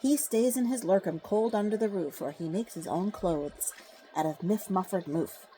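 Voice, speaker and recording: A woman reading a rhyming children's storybook aloud, with dramatic, deliberate phrasing. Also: mid-range voice, mellow, slight background noise, low-quality recording. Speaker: storybook reader. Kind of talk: expressive children's storybook read-aloud in rhyme.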